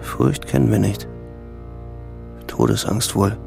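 An actor playing a brooding young soldier, musing in a hushed, reflective voice as radio drama narration. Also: male voice, on the low side, slow pace, vocal fry, music in the background, exaggerated voice. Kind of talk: literary first-person radio drama narration.

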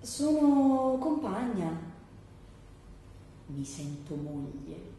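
A woman's voice: medium pitch, very animated, extremely slow, warm tone, voice pushed down. A woman playing an over-agreeable, desperate job applicant in her thirties, gushing and repetitive in a theatrical satirical monologue. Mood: yearning. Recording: very echoey stage, distant mic, faint noise.

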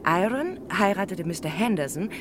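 An actress playing a chatty, gossipy middle-aged former housemaid in a 1960s radio drama. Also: female voice, animated, normal speed, close to an everyday voice. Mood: gossipy, confiding. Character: chatty woman of thirty-nine, former housemaid. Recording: 1960s mono radio, loud train noise. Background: rumbling train ride.

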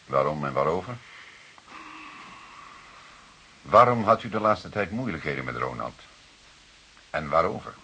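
An actor playing a calm, authoritative police detective in a radio drama, questioning in a formal, deliberate way. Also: male voice, a bass voice, exaggerated voice. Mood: probing, surprised. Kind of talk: Dutch radio drama dialogue. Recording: hissy old radio drama recording.

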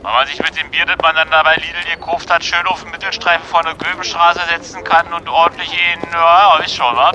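A man's voice: on the high side, little pitch movement, a little fast, hardly any pauses, close to an everyday voice, rough recording. A man playing a laid-back, nonchalant slacker in a radio play phone conversation, answering in short colloquial phrases. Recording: loud busy street with background chatter.

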